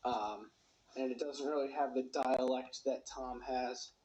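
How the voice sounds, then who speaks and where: A man's voice: medium pitch, fairly even intonation, unhurried, darkish tone, low-quality recording. Man casually hosting over background noise. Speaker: video host. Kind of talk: casual commentary, reading announcements aloud.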